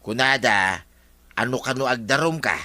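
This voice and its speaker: A man's voice: mid-range voice, moderate pace, sharp tone. A man acting as a cautioning village companion in an Ilocano drama. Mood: explaining. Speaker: actor in drama.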